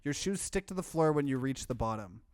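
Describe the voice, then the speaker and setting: A man's voice: medium pitch, expressive, a little fast, darkish tone, no echo, clean studio sound. A man doing casual let's play commentary, reading game text aloud and reacting to it as a streamer.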